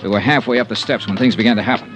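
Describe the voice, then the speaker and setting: A man's voice: lowish voice, fairly even intonation, racing, lightly acted. An American man acting a tough, terse insurance investigator in an old-time radio detective drama, narrating in a clipped, matter-of-fact way. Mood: dry, matter-of-fact. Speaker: lead actor and narrator of a detective serial. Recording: vintage radio recording, faint music.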